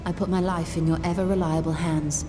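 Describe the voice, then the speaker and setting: A woman's voice: on the low side, flat delivery, a little fast, over music, lightly acted. A woman playing an eager, scholarly young adventurer with formal, articulate phrasing in a video game cutscene. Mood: earnest, businesslike.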